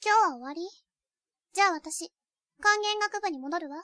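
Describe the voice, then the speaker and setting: A woman playing a brisk, playfully bossy high school girl in a Japanese romantic-comedy voice drama. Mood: breezy. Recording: loud music over the voice.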